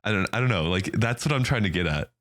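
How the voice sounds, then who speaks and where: Low male voice, native German speaker from Germany, casual and blunt podcast talk, close dry sound. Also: lively intonation, fast, a bit bright, no room sound. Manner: blunt, slangy, swearing. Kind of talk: casual opinionated chat.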